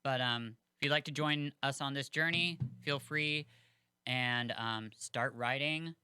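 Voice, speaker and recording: A man's voice: mid-range voice, a little slow, pushed and bright, clean tone, no echo, studio quality. A man co-hosting a podcast, chatting casually and rambling with lots of fillers. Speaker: podcast co-host.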